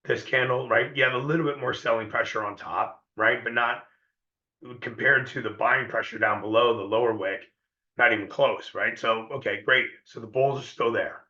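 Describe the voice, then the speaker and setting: A hoarse man giving a live trading lesson in a conversational way, often repeating himself and checking in with viewers. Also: medium pitch, fairly even intonation, normal speed, pushed and bright, roomy sound, highs cut off. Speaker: trading educator.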